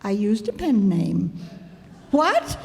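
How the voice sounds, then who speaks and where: Excitable housewife for a vintage radio sitcom, played by a woman: unhurried, high voice, melodramatic, recorded in a reverberant hall. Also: gravelly, full character voice. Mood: giddy, frantic. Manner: theatrical, indignant, melodramatic. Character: excitable, stubborn, vain housewife with writing ambitions. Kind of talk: 1950s radio sitcom acting. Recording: echoey hall, stage performance.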